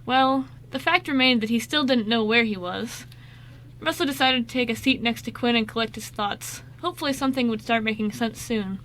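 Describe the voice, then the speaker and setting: A woman narrates an amateur radio play from a script. Her voice is forward and very bright, set a little high. She reads the story with expression. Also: brisk, slight background noise.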